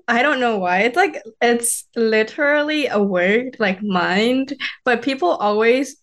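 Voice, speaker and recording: Giggly woman from Thailand. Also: a little high. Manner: giggly, easygoing. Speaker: podcast guest. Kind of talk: casual conversation.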